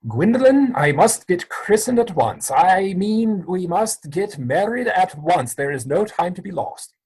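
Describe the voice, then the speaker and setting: A man playing a nervous, lovestruck gentleman suitor in a theatrical period comedy, hesitant and stammering. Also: high-pitched, sing-song, a little slow, slightly rough, heavily acted, rough recording. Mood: ardent.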